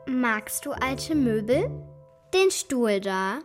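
A woman's voice: on the high side, very animated, takes it slowly, soft background music. A woman playing a cheeky, stubborn young schoolgirl in a children's radio play, with a blunt, needling manner.

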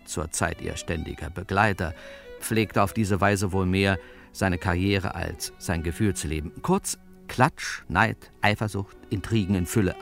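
An actor playing a detective's sidekick who narrates a crime radio play in a wry, colloquial way. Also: male voice, on the low side, creaky, heavily acted.

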